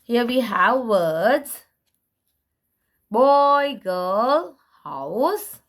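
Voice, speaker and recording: Female children's book reader, higher voice, lively melodic swings, very unhurried word-by-word delivery for little ones. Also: slight background noise. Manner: simple, deliberate word-by-word delivery. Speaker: presenter showing and reading children's books. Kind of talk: slow read-aloud for toddlers.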